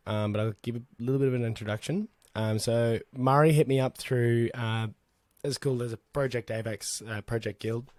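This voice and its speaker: A man with a low voice hosts a laid-back online chat, talking loosely and filling pauses with "um". The sound is dry and close, with little room around it. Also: dry recording. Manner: relaxed, rambling, many fillers and restarts. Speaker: host.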